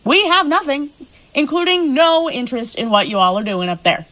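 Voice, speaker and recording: Casting: stubborn, protective ranch boss in a western audio drama, high voice, very animated, brisk orders. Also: female voice, rough recording. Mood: reassuring, wry. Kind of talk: stage-style audio drama acting.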